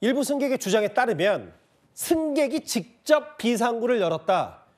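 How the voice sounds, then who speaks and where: A man's voice: very high-pitched, sing-song, moderate pace. A man anchoring a news discussion show, putting conversational, probing questions to a guest and addressing viewers. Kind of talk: live studio news discussion, questioning an expert.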